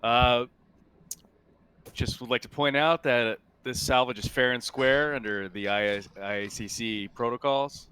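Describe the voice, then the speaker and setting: A man playing a cagey, businesslike salvage ship captain in a tabletop roleplay, explaining things haltingly. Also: medium pitch, fairly even intonation, sharp tone, clear, resonant tone. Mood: guarded. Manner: hesitant, stop-and-start explaining.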